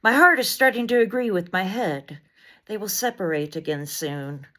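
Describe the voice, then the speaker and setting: A woman reading poetry aloud as dramatic spoken word, in an intense, deliberate delivery of short, weighted phrases. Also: medium pitch, fairly bright tone. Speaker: poet reading poems aloud.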